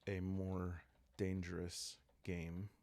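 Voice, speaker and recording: A man speaking softly and calmly in ASMR style, improvising a story aloud as he hosts a solo roleplaying game, thinking out loud with long pauses. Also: deep voice. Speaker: host playing a solo journaling roleplaying game.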